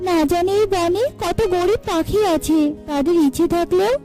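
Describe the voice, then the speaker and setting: A woman's voice: extremely high pitch, normal speed, warm tone, soft background music. A woman voicing a kind, principled mother bird in a children's cartoon, chatty, earnest and motherly. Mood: resolved.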